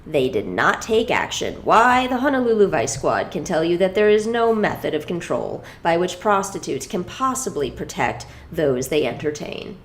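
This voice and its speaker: Lower-voiced woman reading in an echoey room. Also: big pitch swings, normal speed, hardly any pauses. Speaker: reader of a memoir exposé. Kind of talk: dramatic scripted narration of a first-person exposé.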